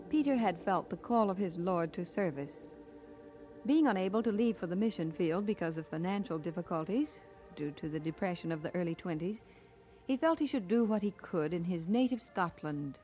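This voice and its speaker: A woman playing a devoted wife who narrates a life story in a measured, solemn radio drama style. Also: lowish voice, a little mellow, lightly acted. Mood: solemn, reflective. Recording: dull vintage radio recording, faint music behind.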